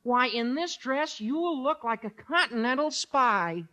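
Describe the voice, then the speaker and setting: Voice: high voice, expressive, measured pace, sharp tone, lo-fi sound, full character voice. An actor playing an exuberant, scheming teenage schoolboy with flowery, dramatic phrasing in a 1950s radio sitcom. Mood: scheming, excited.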